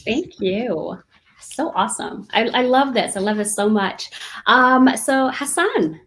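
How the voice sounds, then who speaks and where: Higher-voiced woman moderating a panel. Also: expressive, takes it slowly, a bit bright. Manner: upbeat and playful, handing over the turns.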